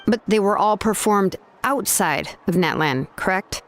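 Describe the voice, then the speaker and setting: Young female game artisan played by an actress, blunt, hesitant, exasperated, medium pitch, soft background music. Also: close to an everyday voice. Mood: explanatory, grave. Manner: measured, hesitant, sighing exasperation. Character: young woman master craftsman, blunt, reluctant, coolly pragmatic. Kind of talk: video game character dialogue. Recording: music behind the voice.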